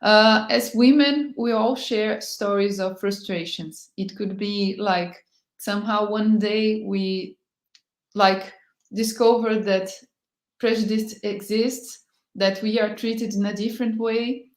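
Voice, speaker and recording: A woman from Brazil moderating a panel on a video call, measured as she leads into the themes. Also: mid-range voice, steady tone, reverberant. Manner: measured, introduces speakers.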